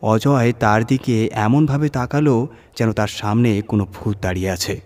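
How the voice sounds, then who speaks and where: A man's voice: lowish voice, moderate pace, a little mellow. A man narrating a scripted horror story as its reader.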